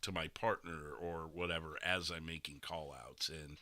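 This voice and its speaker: A man's voice: a bass voice, level tone, normal speed, bright, forceful tone, dry recording, studio quality. A man chatting casually as a streamer, rambling a bit, with a stuffy nose that has him breathing through his mouth. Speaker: gaming streamer hosting a podcast. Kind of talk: casual chat.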